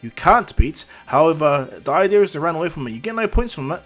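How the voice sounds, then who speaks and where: Man putting on a loud, high exam announcer. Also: big pitch swings, moderate pace, faint music behind. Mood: brisk, emphatic. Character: loud, brisk male exam announcer at hero school.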